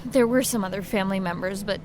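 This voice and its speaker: A woman's voice: medium pitch, fast, lightly acted. A young woman playing an earnest, concerned, devoted granddaughter in an audio drama. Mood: anxious, sad. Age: young adult. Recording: clear background noise.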